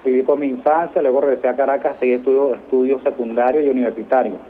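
A man's voice: medium pitch, steady tone, quick pace. A middle-aged man with a Venezuelan accent, answering interview questions in a conversational way as a military guest. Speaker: interview guest, army colonel. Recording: noisy, tinny phone-like line.

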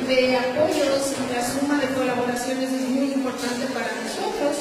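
A woman giving a formal welcome speech and thanks as an event organizer. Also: high-pitched. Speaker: festival organizer giving opening remarks. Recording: very echoey hall, distant mic, noisy.

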